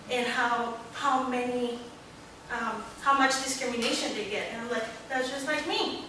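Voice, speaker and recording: A woman's voice: on the high side, pushed and bright. Young woman speaking far off in an echoey hall. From Mexico. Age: young adult. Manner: earnest, searching for words with many pauses. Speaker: student panelist. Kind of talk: panel talk at a book launch. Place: large echoey library hall. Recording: echoey hall, distant, room noise.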